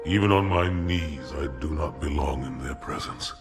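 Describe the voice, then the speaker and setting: An actor playing a disgraced alien warrior commander, speaking in a grave, solemn, quiet manner. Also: male voice, very deep voice, normal speed, over music.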